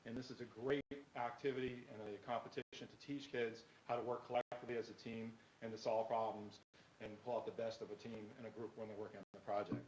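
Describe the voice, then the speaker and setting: A man with a loud voice, speaking informally as a teacher and team advisor presenting at a meeting. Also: medium pitch, level tone, fast. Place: meeting room. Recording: echoey room with faint noise.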